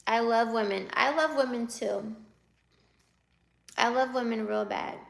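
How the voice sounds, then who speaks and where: Slow, giggly woman with a creaky voice. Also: a little high. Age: 20s. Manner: giggly, teasing, answers viewer comments aloud. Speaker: livestreamer reading chat. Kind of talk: casual live chat.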